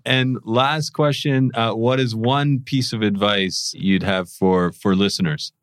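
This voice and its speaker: A man co-hosting a podcast, animated and easily amused, asking casual questions. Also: lowish voice, unhurried, clean tone, no room sound, clean studio sound. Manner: animated, laughs often. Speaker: podcast co-host.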